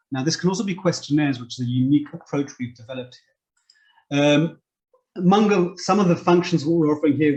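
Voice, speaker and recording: A man's voice: fairly high pitch, darkish tone. A man giving an informal, step-by-step screen-share demonstration as a presenter, explaining with stressed key words. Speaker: presenter demonstrating online therapy card software. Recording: dull, lo-fi microphone sound.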